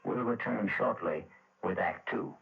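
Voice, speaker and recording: A man with a low, gravelly voice narrates an old radio mystery. He is solemn, deliberate and dramatic, with music behind him on a muffled recording. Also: wildly swooping pitch. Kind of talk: vintage radio scripted narration.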